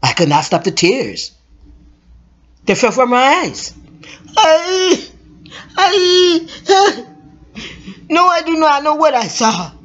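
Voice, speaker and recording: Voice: mid-range voice, big pitch swings, a little slow, pauses often, fairly bright tone, husky, muffled recording, full character voice. A storyteller voicing a frightened young woman who trembles and sobs with tears and shaky laughter. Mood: tearful awe. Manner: sobbing, breaking into shaky laughter.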